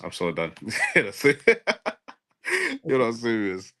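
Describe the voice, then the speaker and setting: A man's voice: medium pitch, wildly swooping pitch, drawn-out words, sharp tone. A man in a casual football transfer debate, speaking emphatically and repeating phrases for stress. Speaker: football fan show panelist.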